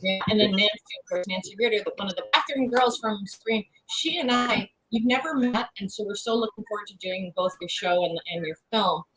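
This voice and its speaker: A woman's voice: medium pitch, fairly quick, few pauses, a bit bright, a little hiss or hum, rough recording. A woman co-hosting a horror livestream, chatting casually with a guest and greeting the viewers.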